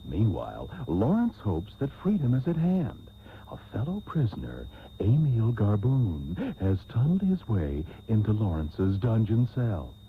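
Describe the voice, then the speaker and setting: A man's voice: fairly low pitch, wildly swooping pitch, a little slow, slight background noise, full character voice. A man playing a pompous, mock-grand host of a parody radio soap, with florid theatrical delivery. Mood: mock-solemn. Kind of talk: parody soap opera announcing. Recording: tinny old radio tape. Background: music.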